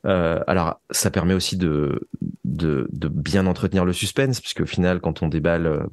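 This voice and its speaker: A man with a low voice talks in a conversational interview, recorded dry and close. He is reflective, pausing and circling as he looks for the right word, like a writer explaining his craft. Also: no echo. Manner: hesitant, reflective, searching for words. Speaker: interview guest, audio fiction writer and composer. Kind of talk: conversational interview about creative work.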